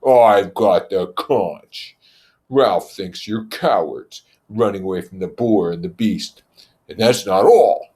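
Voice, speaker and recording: A man's voice: sits low, takes it slowly, darkish tone. A man voicing an aggressive, contemptuous schoolboy hunt leader in a storybook reading, commanding and accusing. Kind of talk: storybook character voice.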